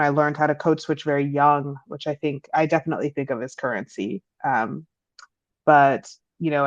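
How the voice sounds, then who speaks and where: A young adult woman from Peru, speaking as an interview guest over a remote call, reflective and thoughtful, with frequent um fillers. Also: dry recording. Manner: reflective, many um fillers, rising pitch when animated. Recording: dull lo-fi call audio.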